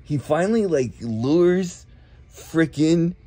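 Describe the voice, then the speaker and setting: A man's voice: fairly high pitch, lively intonation, warm tone, husky, dry recording. A man rambling casually in a slacker manner, drawing out his words as if leaving a comic phone message. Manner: laid-back slacker, drawn-out words, frequent "like", chuckling. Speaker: comic voicemail caller.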